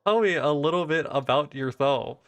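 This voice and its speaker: A man hosting a casual live interview, halting as he goes and often repeating his questions. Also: fairly high pitch. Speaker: interviewer, stream host. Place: live online stream.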